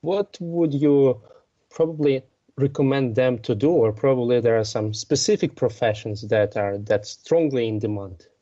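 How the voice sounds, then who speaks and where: A man's voice: medium pitch, moderate pace, warm tone. Male radio interviewer, slightly muffled. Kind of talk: interview questions on a radio program.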